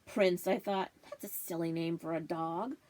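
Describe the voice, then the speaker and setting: A woman's voice: on the low side, fairly even intonation, moderate pace, a little mellow, pitched below the performer's own voice, natural voice. A woman voicing a wry, food-loving family dog who narrates his own storybook tale in a confiding manner. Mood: musing.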